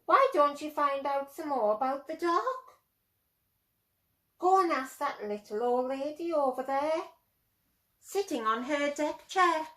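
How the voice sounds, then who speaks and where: A woman's voice: high voice, animated, takes it slowly, pauses often, a bit bright, pitched above the performer's own voice, full character voice. A woman voicing a patient, encouraging mother barn owl with a coaxing, motherly manner in a storybook. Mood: gently prompting.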